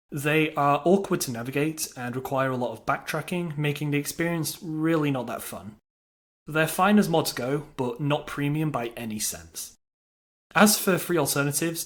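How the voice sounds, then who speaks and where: A man delivering scripted narration for a video game review, with a wry, dryly humorous manner. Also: a little high.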